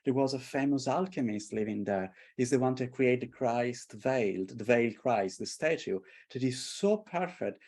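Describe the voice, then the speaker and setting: A man's voice: medium pitch, moderate pace, few pauses, slightly rough. A middle-aged man from Italy with a strong Italian accent, telling stories with passion in a casual conversation. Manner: passionate, animated storytelling. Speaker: artist and tarot creator. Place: London.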